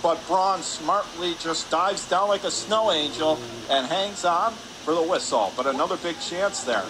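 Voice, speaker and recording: A man calling live radio hockey play-by-play in clipped phrases from an ice rink. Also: fairly high pitch. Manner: clipped rapid phrases, rising on shots. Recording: clear background noise, arena ambience.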